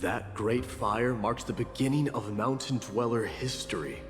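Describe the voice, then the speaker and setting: An actor playing a brooding, resolute male warrior in a video game, speaking in a measured, solemn storyteller's manner. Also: medium pitch, lightly acted. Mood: grave, measured. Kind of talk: dramatic video game dialogue. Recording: long hall reverb, clear background music.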